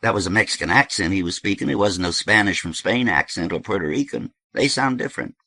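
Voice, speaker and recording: A man acts out an easygoing, seasoned stoner with tattoos for an audiobook. The voice is husky and creaky and swings about freely as he rambles in emphatic slang. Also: lowish voice, expressive, fast talker, sharp tone, no room sound, voice pushed up, heavily acted. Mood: chatty, amused. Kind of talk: audiobook character dialogue.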